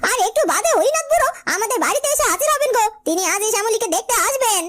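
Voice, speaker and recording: A woman voicing a scheming, bossy middle-aged stepmother in a cartoon, sharp-tongued, with music underneath. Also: very high voice, expressive, very fast, a little hiss or hum. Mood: brisk persuading. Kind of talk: Bengali cartoon dialogue. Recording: loud music bed under voice.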